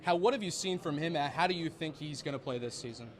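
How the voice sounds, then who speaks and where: Young male sports interviewer, slightly high voice. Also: fast. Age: young adult. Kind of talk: prepared sports interview questions.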